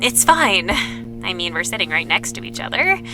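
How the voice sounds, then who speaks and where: A woman's voice: on the low side, very animated, normal speed, over music, poor audio. A woman playing an eager, game young woman in naturalistic audio drama dialogue. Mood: eager, casual.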